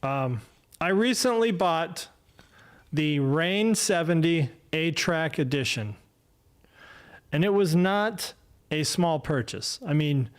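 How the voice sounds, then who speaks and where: A man in his fifties talking casually on a solo livestream podcast, rambling and self-deprecating, with many fillers and restarts. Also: on the high side. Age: 50s. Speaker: podcast host. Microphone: desk mic, no headphones.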